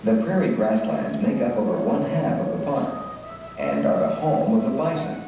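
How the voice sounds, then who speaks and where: A man reading a scripted documentary narration in a measured, informative way. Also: mid-range voice, sing-song. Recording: echoey, distant, dull camcorder recording of a narration with music. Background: music.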